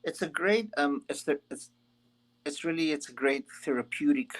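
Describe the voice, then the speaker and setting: A man who teaches guitar, speaking as an interview guest in an animated, joking way and acting out imagined people's worries. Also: a little high, normal speed. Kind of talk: casual interview.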